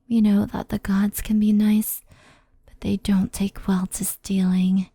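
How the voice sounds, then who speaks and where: A woman voicing a stern, composed fox-spirit in an ASMR roleplay, speaking softly in a measured, formal, admonishing way. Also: mid-range voice, flat delivery, dry recording. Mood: grave, scolding. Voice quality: breathy, soft. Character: stern, composed female fox-spirit yokai, cautious and scolding.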